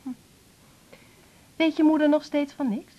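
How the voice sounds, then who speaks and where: A woman's voice: high voice, fairly even intonation, unhurried, warm tone. An actress playing a blunt, spiteful pregnant woman in a radio drama, confrontational and curt. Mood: defiant, needling. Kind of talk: naturalistic 1980s Dutch radio drama acting. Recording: dull, muffled radio recording.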